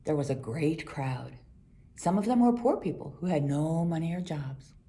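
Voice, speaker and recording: A woman with a low, mellow voice reads a scripted children's Bible story. Her delivery is expressive, with lively swings in pitch that suit storytelling. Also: moderate pace. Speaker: storybook reader.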